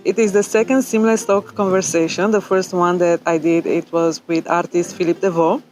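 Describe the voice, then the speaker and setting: A woman hosting an interview, asking questions in a polite, formal and measured way. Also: lowish voice, little pitch movement, darkish tone, faint music behind, rough recording. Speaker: interview host.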